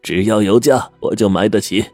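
A man voicing an emotional, wealthy old man who talks in a high, gushing, overly warm way. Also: moderate pace, no room sound, soft background music, natural voice. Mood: smooth bargaining. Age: older.

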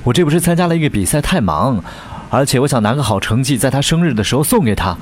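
Eager, fast-talking young man , acted with animated intonation. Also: medium pitch, lightly acted. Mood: anxious. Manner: earnest, hurried. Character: eager, anxious young aspiring male singer. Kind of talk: radio drama dialogue. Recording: clear background noise.

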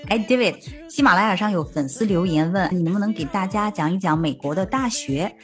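A woman's voice: mid-range voice, lively intonation, a little fast, soft background music. A young woman from China hosting a language podcast, switching between Chinese and English with a Chinese accent, friendly and full of mm-hmms. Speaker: host. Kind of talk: bilingual language-learning podcast.

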